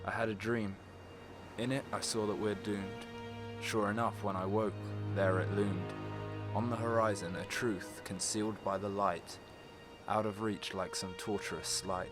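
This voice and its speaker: Male actor as troubled mortal in a poetic drama: lowish pitch, earnest, measured rhyming verse over music. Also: level tone, close to an everyday voice. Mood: solemn, wary. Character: troubled, earnest mortal man questioning Death. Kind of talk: rhymed poetic monologue.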